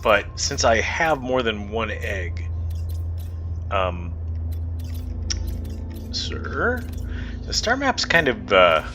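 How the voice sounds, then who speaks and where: A man's voice: fairly low pitch, sing-song, extremely slow, pauses often. A man talking through a space game late at night, hesitant and rambling, with many fillers and restarts. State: tired, up late. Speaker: gaming channel host. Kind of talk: casual gameplay commentary. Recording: game music loud behind the voice. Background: loud game music.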